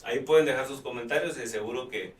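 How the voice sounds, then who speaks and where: A man's voice: medium pitch, fast talker. A man hosting a live talk show, chatting with many fillers and restarts. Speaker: host. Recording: roomy, distant mic.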